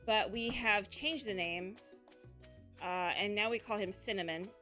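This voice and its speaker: Bright-voiced woman streamer, rambling easy chat. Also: mid-range voice, soft background music. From Pacific Northwest. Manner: unhurried, rambling, many ums. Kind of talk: casual chat while gaming.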